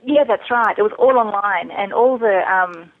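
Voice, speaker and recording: A woman's voice: mid-range voice, moderate pace, dry recording. A woman chatting candidly as a guest in a casual interview, answering questions about herself. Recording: thin narrowband phone or internet call.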